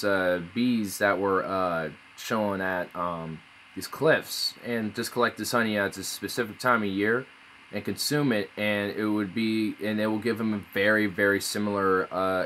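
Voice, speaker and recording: A man's voice: on the low side, fairly bright tone, a little hiss or hum. A man streaming casually, rambling and swearing as he reads aloud. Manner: rambling, laid-back, swears often. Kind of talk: casual live-stream chat. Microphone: phone.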